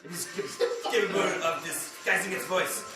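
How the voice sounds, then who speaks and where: Guy doing grand nature doc narrator parody, high male voice, super echoey with music behind. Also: moderate pace, natural voice. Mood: mock grand. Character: mock nature documentary narrator, grandly authoritative. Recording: echoey live room, distant, music bed.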